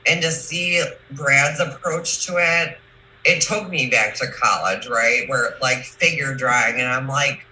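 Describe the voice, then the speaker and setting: Slow, very bright-voiced woman hosting. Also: extremely low pitch. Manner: emphatic, effusive. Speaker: show host. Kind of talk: casual chat. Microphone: video call. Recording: echoey, noisy video call.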